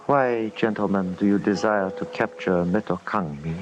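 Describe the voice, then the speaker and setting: Man as dignified old lama, low muffled voice. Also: soft background music, lightly acted. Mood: solemn, questioning. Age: older. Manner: stately, formal, deliberate. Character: dignified old Tibetan lama, gentle, solemn authority. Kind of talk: old-time radio drama acting. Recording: muffled, noisy old radio recording.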